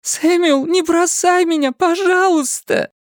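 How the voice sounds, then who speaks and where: A man's voice: unhurried, dry recording, clean studio sound. A male narrator voicing a distraught young wife who sobs and pleads with her husband. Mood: begging. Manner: tearful, pleading, high and strained.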